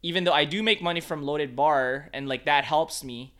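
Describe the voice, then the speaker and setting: Bright-voiced laid-back guy from the Philippines. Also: a little high, normal speed. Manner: laid-back, many fillers like "like" and "man". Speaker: photographer. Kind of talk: casual chat.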